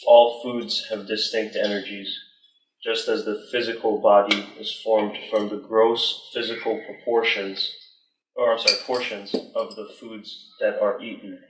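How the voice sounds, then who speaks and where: A man's voice: fairly low pitch, little pitch movement, unhurried, noisy background. A young man from northern Iran reading a passage aloud to a study group, then explaining it in a hesitant, casual way with many fillers. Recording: echoey room, mic far off.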